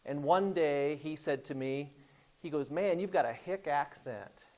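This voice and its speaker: A middle-aged man with an Indiana accent, a guest speaker telling anecdotes to a class in a relaxed way, with frequent hesitations and restarts. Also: a little high, unhurried. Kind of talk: classroom storytelling talk.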